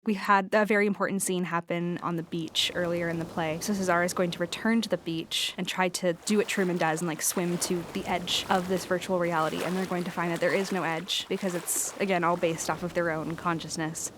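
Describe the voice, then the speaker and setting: Lowish-voiced woman, casual and reflective. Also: fairly even intonation, fairly quick, few pauses, noisy background. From Canada. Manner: informal, reflective, frequent "like". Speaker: playwright, interview guest. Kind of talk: casual chat.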